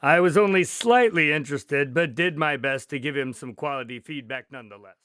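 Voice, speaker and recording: A man's voice: a little high, fairly quick, fairly bright tone, clean tone, no echo, clean studio sound, lightly acted. An actor playing a genial male doctor who recounts detective adventures in a radio drama, polite and wry. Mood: storytelling. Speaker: storyteller and narrator. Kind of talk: modern radio drama acting.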